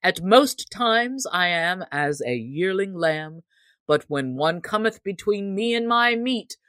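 A woman's voice: on the low side, lively intonation, slow pace, a bit bright, dry recording, studio quality. Woman acting a bold, brash outlaw man. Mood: teasing boast. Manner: archaic, boastful, playful speech.

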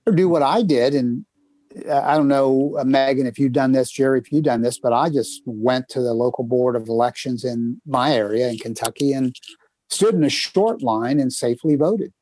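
A man's voice: mid-range voice, normal speed, warm tone, no room sound. A man co-hosting a podcast, chatty and storytelling, full of fillers as he plugs upcoming segments. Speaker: co-host. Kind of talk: casual podcast chat. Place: home in northern Kentucky.

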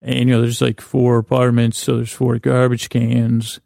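A middle-aged man delivers a rambling sleep podcast monologue in a creaky, gravelly voice. His pitch is fairly average and moves within a narrow span. He hesitates, restarts and drifts off mid-thought, and the sound is close and dry. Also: completely flat delivery, moderate pace, mellow, no echo. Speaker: podcast host.

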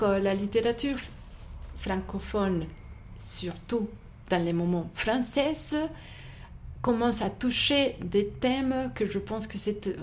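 A woman's voice: mid-range voice, darkish tone. A woman bookseller, not a native French speaker, talking about books in an interview, hesitant with many fillers and restarts. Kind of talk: interview conversation.

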